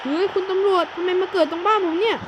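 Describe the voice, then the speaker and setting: A man voicing a young male cartoon thief, a frantic big brother who keeps shouting warnings to his little brother. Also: very high voice, racing, background noise. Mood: panicky. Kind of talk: cartoon voice acting.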